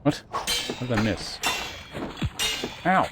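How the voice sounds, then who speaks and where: A man's voice: mid-range voice, big pitch swings, slow, heavy background noise. A man streaming a video game, giving casual live commentary and thinking aloud in short muttered remarks.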